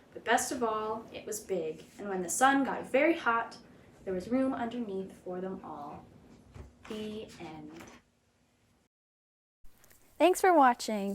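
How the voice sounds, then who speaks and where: A woman reading a children's picture book aloud in an expressive, dramatic storytelling manner. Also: on the high side. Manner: animated, dramatic read-aloud delivery. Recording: roomy echo, background noise.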